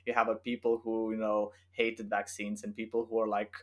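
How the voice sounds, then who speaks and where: A man's voice: on the low side, monotone. Lithuanian-accented man, thoughtful podcast guest. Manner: thoughtful, unhurried, with frequent fillers and restarts. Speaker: author guest. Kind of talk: casual podcast interview.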